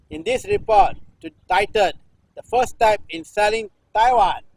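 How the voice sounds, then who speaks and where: A man in his sixties from Singapore, a performance artist reading news text aloud in halting runs, restarting phrases and repeating a refrain. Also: high-pitched, no echo, poor audio. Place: temple-like cage at an art festival.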